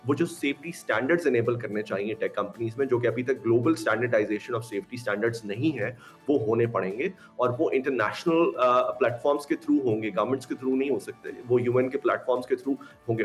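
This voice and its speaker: A Pakistani-accented man talks as an interview guest in a mid-range voice. He explains his points earnestly, stressing key words and slipping into English, with faint music behind him. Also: medium pitch. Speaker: expert guest. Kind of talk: interview commentary mixing Urdu and English. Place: remote video call.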